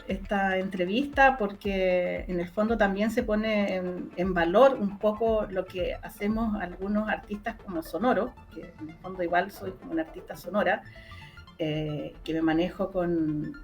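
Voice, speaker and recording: Female interview guest, Chilean accent, conversational delivery, medium pitch, light music underneath. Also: unhurried. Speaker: interview guest, sound artist. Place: online interview call.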